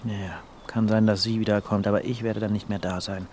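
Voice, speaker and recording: An actor playing a grumpy, melancholy, burnt-out poet in an audio drama, curt and weary. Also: male voice, lowish voice, little pitch movement, fairly quick, a little mellow, slight background noise, close to an everyday voice. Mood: weary, irritable. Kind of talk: audio drama dialogue acting.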